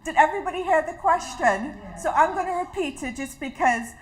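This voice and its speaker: A woman's voice: sits high, lively intonation, brisk, low-quality recording. A woman from Trinidad, leading an interactive health talk to an audience in a chatty, personal way. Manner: warm, chatty, personal, restarts often. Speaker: health educator. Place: community hall with a live audience.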